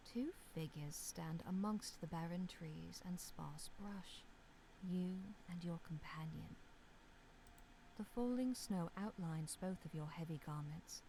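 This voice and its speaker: A woman playing a mysterious, solemn narrator of a dark fantasy visual novel, with slow, hushed, measured storytelling. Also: on the low side, a little hiss or hum, rough recording. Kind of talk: scripted second-person narration for a visual novel.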